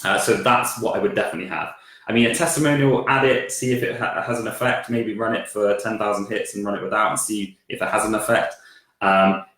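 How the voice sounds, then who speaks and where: A man with a croaky voice answering viewer questions on a live stream, hesitant with lots of fillers and restarts. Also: fairly low pitch, steady tone, fairly quick, a bit bright. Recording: very echoey room.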